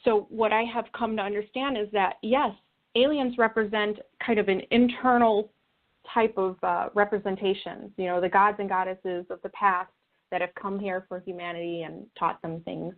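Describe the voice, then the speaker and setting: A woman guest explaining her views in an interview, earnest and reflective, with halting phrasing. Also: mid-range voice, fairly even intonation. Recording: thin phone-like call audio.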